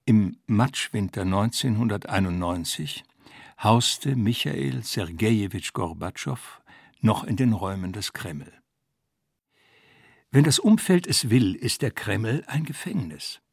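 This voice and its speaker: A man's voice: fairly low pitch, lively intonation, takes it slowly, gravelly, breathy edge, dry recording, studio quality. A man reading a scripted literary narration in formal, essayistic phrasing.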